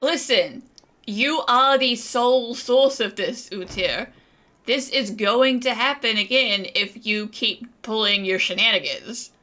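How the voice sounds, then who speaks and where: A woman's voice: on the high side, slow, sharp tone. A woman giving casual playthrough commentary, playfully bantering and talking back to game characters. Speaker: gaming streamer.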